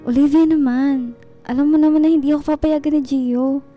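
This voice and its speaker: An actress playing a meek but suspicious devoted wife in a radio drama. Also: female voice, sits high, fairly even intonation, brisk, dark tone, close to an everyday voice. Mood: hurt, gentle. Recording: music behind the dialogue.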